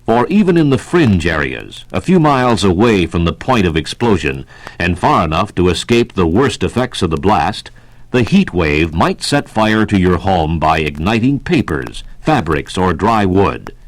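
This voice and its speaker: An American man reading a scripted 1960s civil defense radio announcement in a measured, formal, instructional manner. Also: low-pitched, sing-song, no room sound. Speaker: public information announcer.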